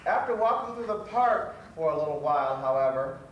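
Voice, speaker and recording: A very echoey, distant recording of a man with a high voice. He narrates a scripted children's story from a stage as the storyteller, over faint noise. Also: animated, brisk, muffled recording.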